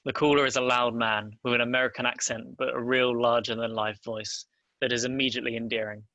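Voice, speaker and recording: A man's voice: mid-range voice, little pitch movement, fairly quick, pushed and bright, no echo, lo-fi sound. A man narrating stage directions at a script table read.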